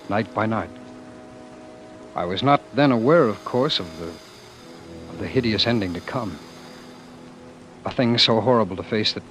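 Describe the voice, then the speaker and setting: An actor playing a weary, defiant former world ruler in love, with halting, theatrical delivery in the style of old radio drama. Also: male voice, on the low side, fairly bright tone. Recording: noisy vintage radio broadcast.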